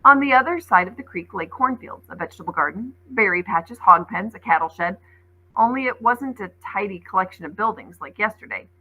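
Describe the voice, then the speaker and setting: A woman narrates a children's story in a bright, forward voice with a noticeably creaky, husky grain. She reads expressively, in the manner of a teacher sharing a novel aloud. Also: medium pitch, lively intonation, fairly quick, poor audio.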